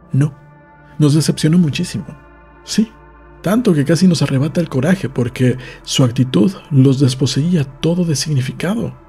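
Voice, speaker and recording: A man reading a novel aloud as a narrator, in a measured storytelling manner. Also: mid-range voice, warm tone. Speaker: audiobook narrator. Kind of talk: scripted literary narration, novel read aloud.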